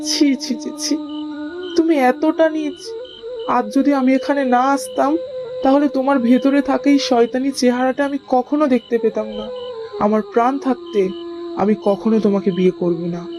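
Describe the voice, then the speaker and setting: A woman playing a heartbroken, indignant young bride-to-be in an animated horror story. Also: high-pitched, normal speed, music in the background, natural voice. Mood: hurt, indignant.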